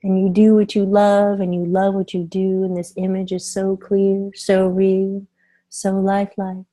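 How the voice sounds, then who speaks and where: Female hypnotherapist for guided affirmations: dark, soft-edged tone, almost no melodic movement, soothing, deliberate delivery with emphatic repeats. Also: medium pitch.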